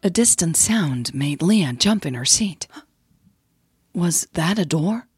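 A woman narrating a scripted romantic audio drama in a soft, measured storytelling manner. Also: lowish voice, lively intonation, breathy edge, no room sound, soft background music. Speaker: narrator and podcast host.

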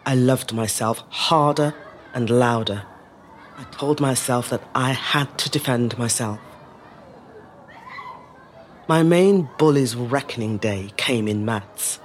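A woman playing a resilient middle-aged woman telling her life story in a measured, reflective dramatic monologue. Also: a bass voice, slight background noise. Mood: defiant. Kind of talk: scripted dramatic monologue, first-person storytelling.

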